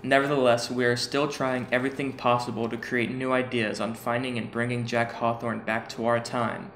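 A man's voice: medium pitch, level tone, moderate pace, fairly bright tone, lightly acted. A man playing an earnest official giving a formal televised statement, in a roomy, noisy recording. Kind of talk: formal scripted public statement.

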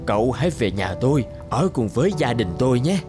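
A man voicing a gruff but fair old woodcutter father in a fairy-tale cartoon. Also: mid-range voice, very animated, brisk, lightly acted. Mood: approving. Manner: plain-spoken, doubtful then approving. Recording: music behind the voice.

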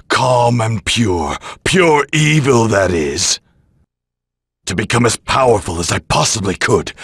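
An actor playing a proud, arrogant alien warrior prince in an anime video game, with a gravelly, taunting delivery. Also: male voice, fairly low pitch, a bit bright, dry recording, studio quality, exaggerated voice. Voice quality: gravelly, raspy. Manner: haughty, taunting.

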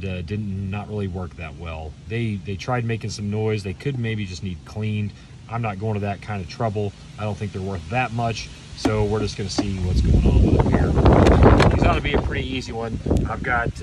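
A man giving casual, plain-spoken hands-on commentary, unhurried and halting as he works through a teardown. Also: fairly low pitch, steady tone. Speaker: video host, hobby scrapper. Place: outdoors on pavement. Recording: distant mic, outdoor background noise.

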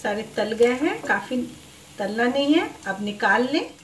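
Calm woman cooking host in roomy kitchen. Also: a little high, unhurried, slight background noise. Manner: calm, instructive, mixes English cooking words into Hindi. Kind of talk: step-by-step recipe narration.

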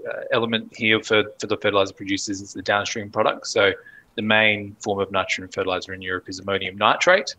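A man in his thirties with an Australian accent, speaking as a guest on a video call and explaining things in a relaxed, steady way. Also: on the low side, rough recording. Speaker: podcast guest, fertilizer analyst. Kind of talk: casual interview talk. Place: home office on video call.

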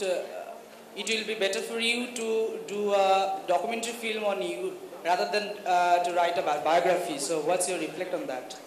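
A man in the audience asking a nervous, halting question. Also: sits high. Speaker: audience member. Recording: echoey hall.

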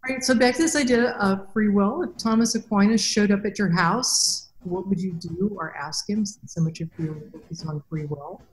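A woman in her fifties hosting a podcast over a video call, enthusiastic and halting, often restarting her sentences. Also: mid-range voice, low-quality recording. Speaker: podcast host. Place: indoors, plain room. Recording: faint background noise.

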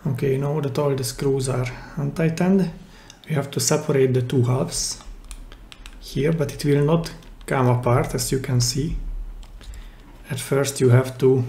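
A man giving a hands-on, step-by-step repair tutorial in simple, careful phrases with pauses mid-sentence, recorded indoors at a workbench. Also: mid-range voice, gravelly, mellow. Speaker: DIY repair channel host. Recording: roomy, echoey indoor sound.

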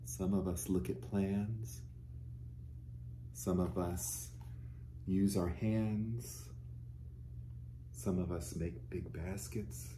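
A middle-aged man reads a children's picture book aloud in a soft, mellow tone. He takes his time, pausing to explain things simply and gently to young listeners. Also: low voice, steady tone, a little hiss or hum, poor audio. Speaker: pastor. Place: small furnished living room, indoors.

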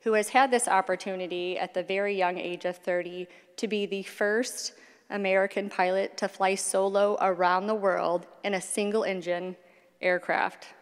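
A woman giving a measured, formal introduction of a guest speaker on a school auditorium stage, holding a handheld mic. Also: mid-range voice, fairly even intonation, smooth. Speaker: event host introducing the speaker. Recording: echoey auditorium reverb.

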